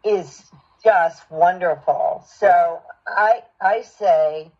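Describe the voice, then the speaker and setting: A woman's voice: on the low side, drawn-out words, breathy edge. A woman giving supportive, affirming feedback as a voice acting coach, on dull lo-fi call audio.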